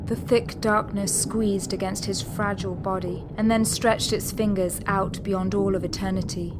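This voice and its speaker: A woman's voice: mid-range voice, steady tone, moderate pace, background noise, natural voice. A woman giving dramatic, scripted narration as the storyteller of an audio drama. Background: music.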